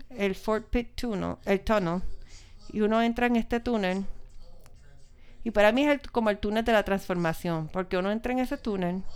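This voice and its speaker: A woman's voice: mid-range voice, smooth. A woman preaching a sermon with anecdotes, repeating key phrases for emphasis. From Pittsburgh, United States.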